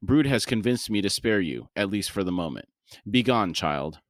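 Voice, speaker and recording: A man reads out an ancient, stern lord of dragon blood from a fantasy novel. He is commanding and terse with archaic wording, in a fairly low, dry-sounding voice. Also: animated, moderate pace, dry recording, close to an everyday voice.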